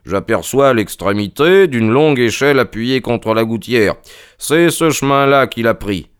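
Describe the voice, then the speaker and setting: Man voicing a commanding, clear-voiced detective. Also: mid-range voice, expressive, no echo, studio quality, pitched above the performer's own voice, lightly acted. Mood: keen, urgent. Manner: brisk, authoritative, emphatic. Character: sharp, commanding male detective, confident and urgent.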